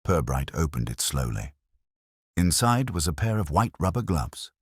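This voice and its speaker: A man narrates a mystery story in a very deep, husky voice. The pitch moves with lively variation, and the delivery is scripted storytelling. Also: normal speed, no echo, studio quality. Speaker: audiobook narrator.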